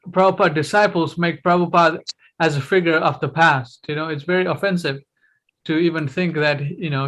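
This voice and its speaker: A man's voice: a little high, a bit bright. A man hosting a casual video-call discussion, chatty and full of questions and fillers. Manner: chatty, asks lots of questions, many fillers. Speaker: host.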